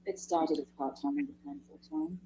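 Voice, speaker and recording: A woman's voice: on the low side, very animated, normal speed, mellow, a little hiss or hum, low-quality recording. An Australian woman testifying in court, curt and exasperated as she lists her duties. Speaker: trial witness.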